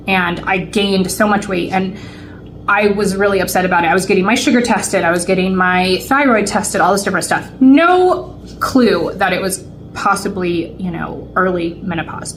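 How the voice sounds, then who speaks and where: A woman's voice: medium pitch, slight background noise, low-quality recording. A woman in her late forties co-hosting a vlog, chatting casually with lots of fillers and restarts. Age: 40s. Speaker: vlog co-host. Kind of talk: casual chat to camera.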